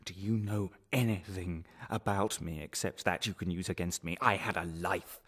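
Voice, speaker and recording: A man's voice: low-pitched, steady tone, fairly bright tone. A man playing a bitter, anguished prisoner held without trial, rambling and questioning in a radio drama monologue. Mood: seething, defiant. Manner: halting, repetitive, questioning, swinging into angry outbursts.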